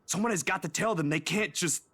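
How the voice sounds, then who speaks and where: A man's voice: high-pitched, a little fast, a bit bright, husky. An actor playing a worried young man in a visual novel, pleading and faltering as he clings to hope. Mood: upset, insistent. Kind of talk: visual novel voice acting, dramatic.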